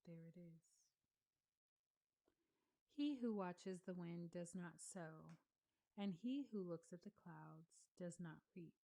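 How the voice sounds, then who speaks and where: A woman reads scripture aloud in a slightly low voice, taking each phrase slowly and deliberately. Her tone is mellow and soft-edged rather than bright. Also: rough recording. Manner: measured, deliberate reading with pauses. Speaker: scripture reader. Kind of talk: slow read-along of scripture.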